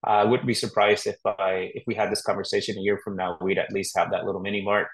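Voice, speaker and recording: A middle-aged man from Honduras talks casually as an interview guest. His voice sits a little low, and he rambles easily, with plenty of fillers and restarts. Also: flat delivery, fast, poor audio. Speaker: real estate agent guest.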